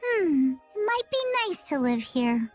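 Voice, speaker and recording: Cartoonish game kid, little girl, extremely high, inquisitive, tinkly music behind. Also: sing-song, unhurried, darkish tone. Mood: curious, perky. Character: perky, curious little girl. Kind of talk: video game voice acting.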